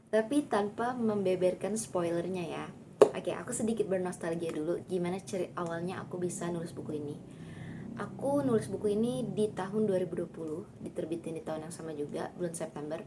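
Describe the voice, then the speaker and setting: A young woman author casually chatting about her own book in an upbeat, filler-heavy way. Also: fairly low pitch. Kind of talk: casual book talk vlog. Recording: audible background noise.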